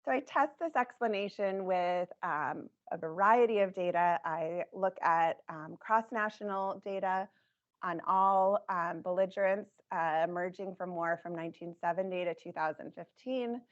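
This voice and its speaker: A woman presenting her research in a measured academic talk, her voice scratchy, with frequent ums. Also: fairly low pitch. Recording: dull lo-fi recording.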